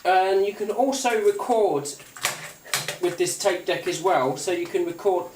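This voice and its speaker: A roomy, distant recording with faint noise carries a man with a slightly high voice. He talks casually as he demonstrates a gadget, unscripted and hesitant. He rambles and circles back over his own phrases. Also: unhurried. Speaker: gadget review YouTuber. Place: indoors at a tabletop, away from the mic. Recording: roomy, distant mic, faint hiss and clicks.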